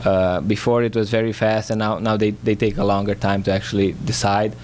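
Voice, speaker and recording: A man's voice: fairly low pitch, level tone, fairly quick, a little mellow, a little hiss or hum. A man with a Romanian accent, a native Romanian speaker, answering as a guest in a radio studio with short, hesitant replies full of fillers. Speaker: flooring business owner.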